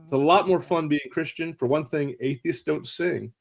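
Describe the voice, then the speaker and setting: A man's voice: a little high, moderate pace, gravelly. A man, a guest author on a livestream, talking in a wry, emphatic and self-mocking way. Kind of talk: casual livestream conversation.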